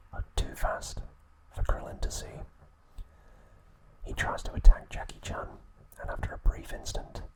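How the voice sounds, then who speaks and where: A man speaks entirely in a breathy whisper, as the host of an ASMR video. He narrates a recap softly and in measured, short phrases. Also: poor audio.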